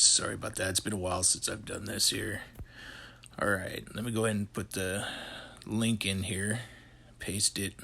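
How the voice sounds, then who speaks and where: A man in his forties talking casually to camera in a relaxed, rambling way with many fillers, his voice hoarse and going out. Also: on the low side. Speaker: vlogger. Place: indoor hobby room with shelves.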